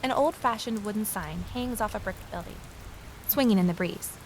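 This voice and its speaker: A woman's voice: medium pitch, normal speed, slight background noise, lightly acted, rough recording. A woman playing a curious, determined investigator who narrates an audio drama in a reflective, conversational way, with ambience behind her. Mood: observant, unsettled. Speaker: narrator and lead character. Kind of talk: first-person audio drama narration and dialogue.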